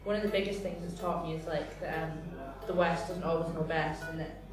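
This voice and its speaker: A woman's voice: low voice, fairly even intonation, a little fast, music in the background. A woman of nineteen chatting in interview answers, full of "like". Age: late teens. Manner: chatty, lots of "like". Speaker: returned volunteer. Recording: echoey room from far off.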